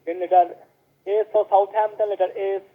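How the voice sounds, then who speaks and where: A man cold-calling as a scam caller, pushing a scripted pitch and spelling letters out slowly over a phone line. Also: level tone. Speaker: scam caller posing as support.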